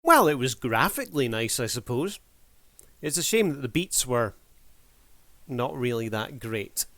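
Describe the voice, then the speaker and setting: A man's voice: medium pitch, very animated, unhurried, fairly bright tone, dry recording. A man hosting a review video, giving casual commentary in a showman-like manner with formal addresses to the audience.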